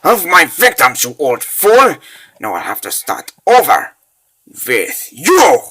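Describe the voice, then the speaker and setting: A man's voice: fairly high pitch, huge pitch swings, slow, bright, forceful tone, husky, full character voice. A man voicing a brash, irritable villain in a comic dub, blustering and menacing. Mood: irritated.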